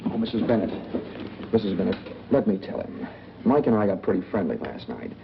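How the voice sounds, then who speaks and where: A terse, evasive noir drifter with a low, gravelly voice, coaxing in casual 1940s film style. The sound is a muffled old movie track. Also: male voice, sing-song, a little fast, slight background noise. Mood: politely guarded.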